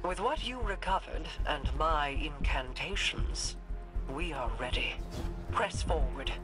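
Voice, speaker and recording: An actor playing a composed, brooding sorcerer and scholar in a video game, measured, commanding and contemplative. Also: male voice, fairly high pitch, lively intonation, low-quality recording. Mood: urgent, commanding. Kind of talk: dramatic video game dialogue. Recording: loud game music behind.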